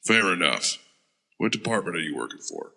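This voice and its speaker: An actor playing a terse, guarded veteran supersoldier in an audio drama. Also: male voice, sits low, sing-song, a little fast, vocal fry, pushed and bright, exaggerated voice. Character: gruff old war veteran supersoldier, wry.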